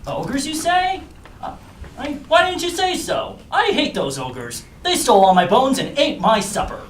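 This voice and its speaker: A woman's voice: sits high, sing-song, moderate pace, voice pushed up. A woman voicing a cranky, hungry dog in a live storytelling show, blustering and stammering. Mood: grumpy, eager. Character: hungry cranky dog, eager ogre-hater. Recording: echoey room, distant mic, noisy.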